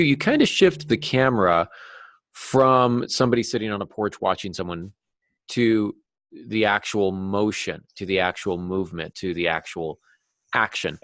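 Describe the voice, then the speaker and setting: A man speaking as a guest novelist in a podcast discussion, explaining craft deliberately and with examples. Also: fairly low pitch, no room sound, clean studio sound.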